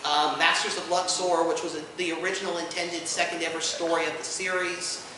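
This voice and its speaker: A man giving an informal panel presentation to an audience, an enthusiastic explainer who speaks haltingly with many asides. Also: on the high side, flat delivery. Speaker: convention panelist presenting audio drama releases. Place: indoor convention panel room. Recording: echoey room from far off, noisy.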